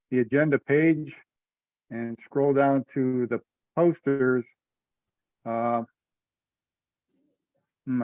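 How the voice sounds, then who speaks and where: A man's voice: medium pitch, dry recording, highs cut off. A man leading an informal meeting discussion over conference call audio.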